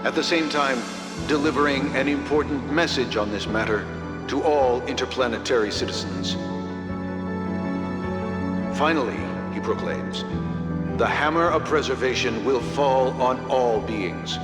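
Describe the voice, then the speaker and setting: A man's voice: mid-range voice, a little slow, close to an everyday voice. A man playing a formal, authoritative news broadcaster reading a scripted bulletin in a video game. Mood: formal, grave. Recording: echoing reverb, loud music behind.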